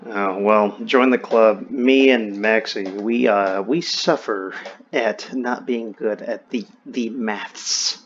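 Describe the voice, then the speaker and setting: A man chatting and telling stories casually, with animated reactions, swearing and restarts, over online voice chat. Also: fairly low pitch, takes it slowly, slight background noise. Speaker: host. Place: online voice chat in a VR social game. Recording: dull lo-fi voice-chat audio.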